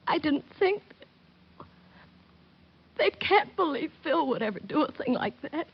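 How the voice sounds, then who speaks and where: A woman's voice: sits high, sing-song, measured pace, vocal fry, full character voice. A woman playing a shaken, drowsy, sorrowful young widow in an old-time radio drama. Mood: earnest, troubled. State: drowsy, upset. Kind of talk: 1940s radio drama acting. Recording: muffled old radio recording.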